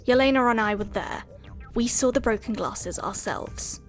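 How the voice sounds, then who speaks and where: A woman's voice: on the high side, normal speed, soft background music, lightly acted. A woman playing a cool, confident young witness in an audio drama, firm and matter-of-fact. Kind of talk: anime-style audio drama.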